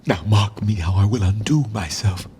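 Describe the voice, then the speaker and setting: A man acting a deposed English king in Shakespearean verse, grieving and bitterly ironic, with a theatrical, deliberate delivery. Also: lowish voice, breathy edge. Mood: solemn renunciation. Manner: theatrical, deliberate, swinging from hushed to declaiming. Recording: long reverberant hall.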